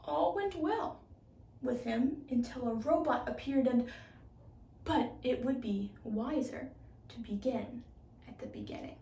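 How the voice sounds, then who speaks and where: A teenage girl reads a storybook aloud with a high, soft-edged, mellow voice. She takes her time over each phrase. The room echoes around her and she sits back from the microphone. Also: unhurried. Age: teens, high school junior. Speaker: children's storybook reader. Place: small room indoors. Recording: roomy, distant microphone.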